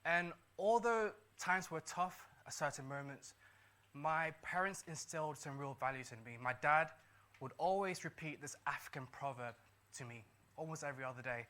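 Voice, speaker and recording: A man's voice: a little high, normal speed, sharp tone. A middle-aged man from Ghana, a doctor, telling his success story to a live audience in an earnest way, repeating key lines for emphasis. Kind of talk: motivational talk to a live audience.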